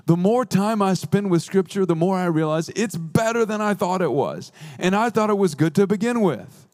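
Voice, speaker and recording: A man preaching a sermon as a pastor, earnest with playful asides, slowing down to stress key phrases. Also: high-pitched, lively intonation, darkish tone.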